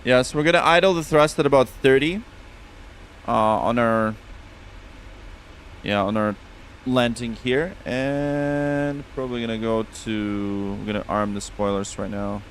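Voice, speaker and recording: Russian-accented male streamer, relaxed and chatty, mid-pitched voice. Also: unhurried, slight background noise. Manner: relaxed, chatty, reads back radio calls. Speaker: flight sim streamer. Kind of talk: casual live-stream chat with radio calls.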